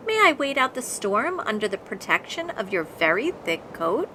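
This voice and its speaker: A timid storybook hare, played by a woman, makes requests with formal politeness. The voice is bright, and the recording has faint noise. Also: mid-range voice, sing-song, moderate pace. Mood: polite.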